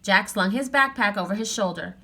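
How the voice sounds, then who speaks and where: A woman narrating a children's story aloud in an expressive read-aloud style. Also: mid-range voice, a little fast, husky.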